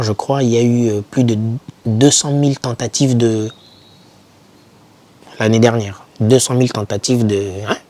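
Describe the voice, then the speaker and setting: A man's voice: mid-range voice, darkish tone. A young man giving informal motivational advice to camera, speaking emphatically and repeating key words to encourage the listener. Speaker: YouTuber advising foreign students.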